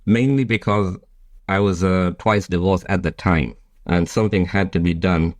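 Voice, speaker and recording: A man's voice: low voice, steady tone, moderate pace, dry recording. A man around fifty, born in Bangladesh and raised in the UK, giving a polished, formal introduction as a podcast guest. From Bangladesh, raised in the United Kingdom. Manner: polished, formal, reads like a prepared statement. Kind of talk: prepared self-introduction, interview answers.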